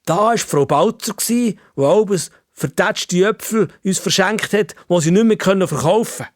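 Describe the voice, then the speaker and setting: A man voicing a curious, easily flustered old-fashioned man from the past in a children's story, with a Swiss accent and halting, repetitive phrasing. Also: sits high, animated, dry recording, studio quality, voice pushed up. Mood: searching, remembering.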